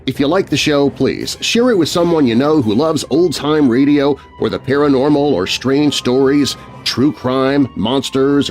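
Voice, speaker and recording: Man, podcast host, scripted outro narration, lively intonation, medium pitch, close studio mic, music behind.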